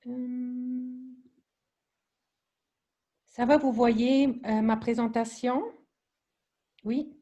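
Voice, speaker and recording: German-accented woman, slow and hesitant. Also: fairly high pitch, level tone, dark tone, muffled recording. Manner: hesitant, many fillers and restarts. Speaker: presenter of a training webinar. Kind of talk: online training presentation.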